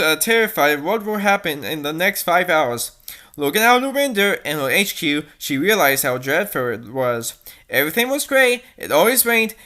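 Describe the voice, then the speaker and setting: Female comedic reader: bright, very animated, sarcastic, breaking from narration into amused asides. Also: fairly low pitch. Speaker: reader and commentator. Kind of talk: mocking comedic story reading.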